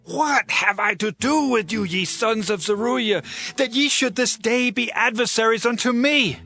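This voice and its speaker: Commanding king for scripture audio drama: high, ringing, forceful, stretching key words with passion. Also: faint music behind, full character voice. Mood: stern, indignant. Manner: forceful, declamatory, drawn-out emphatic words. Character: King David, impassioned commanding male monarch. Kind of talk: dramatized scripture acting, archaic English.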